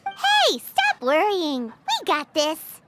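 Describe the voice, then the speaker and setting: A woman's voice: huge pitch swings, slow pace, over loud music, exaggerated voice. A woman voicing a tiny floating fairy-like companion who is chatty and greedy and talks about herself in the third person. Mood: cheeky. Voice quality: high, squeaky, refers to herself by name.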